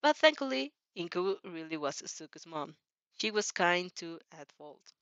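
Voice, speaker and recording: A giggly, excitable woman reading fan fiction aloud and breaking off for casual comments. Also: lowish voice, normal speed, smooth, dry recording, lo-fi sound. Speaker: channel host and fanfic reader.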